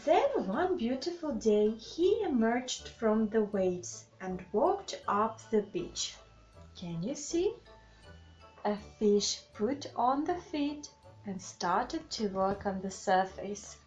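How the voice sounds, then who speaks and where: A woman reading a children's bedtime story aloud in an expressive, deliberate way, with asides to young listeners. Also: fairly high pitch. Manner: slow, deliberate, animated phrasing with questions to the child listeners. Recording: slightly dull, lo-fi sound with music.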